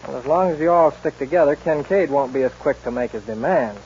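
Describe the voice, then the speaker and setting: A man's voice: medium pitch, expressive, brisk, darkish tone, natural voice. An actor playing a polite, self-assured young American son in a 1940s radio western, formal and courteous in his wording. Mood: confident. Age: young adult. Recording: noisy muffled 1940s radio recording.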